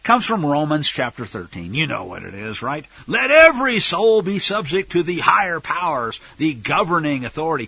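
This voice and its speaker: An American man with a husky voice preaching a Bible lesson in an emphatic, sarcastic way, full of rhetorical questions. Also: fairly high pitch, sharp tone, no echo, muffled recording. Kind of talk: sermon, Bible teaching with political commentary.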